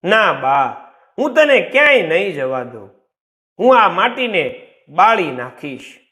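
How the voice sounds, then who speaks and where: A man's voice: high voice, very animated, takes it slowly, pushed and bright, heavily acted. A man voicing a distraught, devoted young son in a village tale, emotional and pleading. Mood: desperate.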